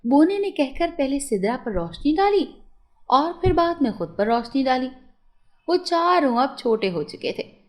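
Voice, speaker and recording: A woman's voice: sits high, big pitch swings, measured pace. A woman narrating a scripted moral story for children as a storyteller.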